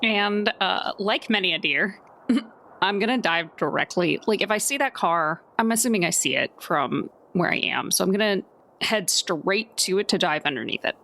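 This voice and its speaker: A woman's voice: medium pitch, animated, moderate pace, vocal fry, bright, forceful tone. A woman playing a tabletop game, talking casually in a wry, informal manner.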